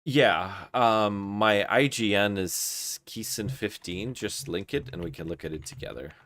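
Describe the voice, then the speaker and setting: A man's voice: on the low side, lively intonation, measured pace, a bit bright, no echo. A man from Kazakhstan chatting casually as a game livestreamer giving advice, often repeating words as he thinks aloud. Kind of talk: casual live stream chat, advice and commentary.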